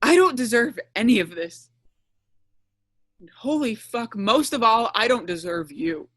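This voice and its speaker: A woman playing a distraught, lonely young prisoner in an audio play, halting and shaky, flaring into anger. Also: a little high, expressive, a bit bright, no room sound, clean studio sound. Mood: wounded, resolute. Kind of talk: audio play acting over online stage reading.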